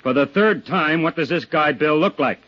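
An actor playing a tough, impatient police detective with a gruff, sardonic, badgering manner in a 1950s radio drama. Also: male voice, fairly high pitch, normal speed, close to an everyday voice. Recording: dull, lo-fi 1950s radio recording.

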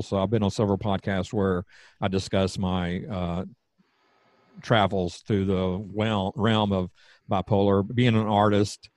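A man with a Southern accent, a podcast guest speaking in casual conversation with slightly slurred, halting speech. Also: lowish voice, a little mellow, no room sound.

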